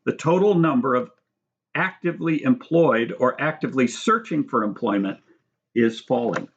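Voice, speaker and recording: A muffled, lo-fi recording of a man with a mid-pitched voice narrating nonfiction. He reads from a script in a measured, formal way, as an author presenting his own writing. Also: lively intonation. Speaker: author reading own book aloud. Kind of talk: scripted narration with brief spoken asides.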